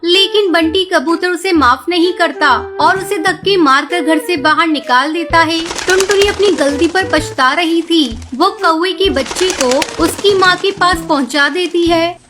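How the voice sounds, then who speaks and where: A woman reading scripted narration for a cartoon story, as its narrator. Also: very high-pitched. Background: music.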